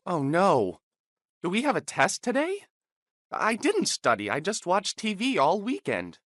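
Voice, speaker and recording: Man acting a casual friendly young man, animated intonation, dry recording, scripted dialogue. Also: high-pitched, unhurried, dry recording, exaggerated voice. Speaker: voice actor in English lesson dialogues.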